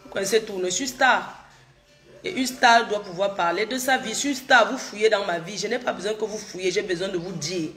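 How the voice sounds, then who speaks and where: A woman's voice: mid-range voice, a little fast, a bit bright, soft background music. A middle-aged woman from Cameroon, chatting casually on a live stream. She has a cold and talks proudly, answering viewer comments directly. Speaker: social media personality answering viewers.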